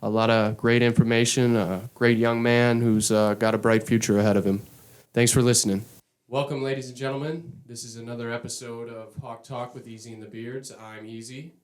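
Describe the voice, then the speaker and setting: Relaxed, mid-pitched male podcast host. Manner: relaxed, unhurried, frequent "uh" and "um". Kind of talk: casual sports talk and interview hosting.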